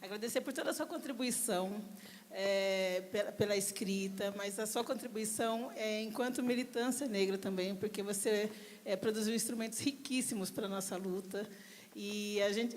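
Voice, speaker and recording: A woman moderating a literary panel, thanking the guest in a measured way. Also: mid-range voice. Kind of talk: thanking speakers at a live event. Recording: hall reverb with crowd and noise.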